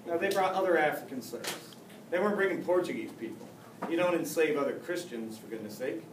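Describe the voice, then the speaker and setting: A man's voice: on the high side, fairly quick. Joking male teacher in an echoey classroom. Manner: joking, chatty, with many restarts. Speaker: high school history teacher. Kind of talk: casual classroom lecture. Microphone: camera far across the room. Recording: echoey classroom, faint hum, mic far off.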